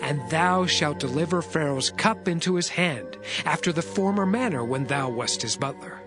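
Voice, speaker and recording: A man's voice: a little high, lively intonation, normal speed, lightly acted. A man playing Joseph, an earnest young Hebrew prisoner who interprets dreams in solemn scriptural phrasing, in a dramatized Bible audio production. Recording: music behind the voice.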